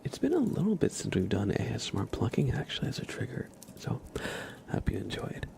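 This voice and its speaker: A man hosting an ASMR livestream, chatting casually and gently with viewers in a soft, breathy whisper. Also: on the low side, wildly swooping pitch, fairly quick, a little hiss or hum. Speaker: live ASMR streamer and host.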